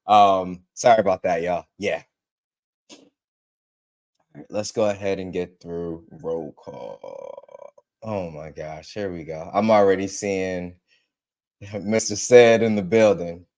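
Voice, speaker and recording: Laid-back lower-voiced man hosting a stream. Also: takes it slowly, pauses often, a little mellow. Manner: laid-back, repeats phrases, greets viewers by name. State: congested from allergies. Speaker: livestream host. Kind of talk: casual tech livestream chat.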